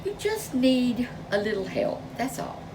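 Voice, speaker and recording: Woman as a gentle, unhurried mama bear. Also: a little high, sing-song, recorded from a distance, noisy background, pitched above the performer's own voice. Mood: reassuring. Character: gentle, reassuring mother bear.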